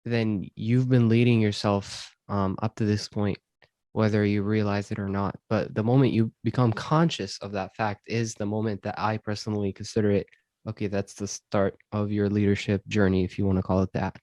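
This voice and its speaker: A man from Syria, host of a self-improvement podcast, answering interview questions in a thoughtful, measured way with frequent ums. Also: fairly low pitch, little pitch movement, darkish tone, dry recording. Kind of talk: reflective conversational interview.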